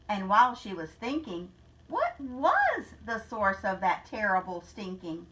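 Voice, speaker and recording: A woman reading a rhyming children's picture book aloud in a deliberate, expressive storytelling manner, like a teacher. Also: a little high, far from the mic, low-quality recording.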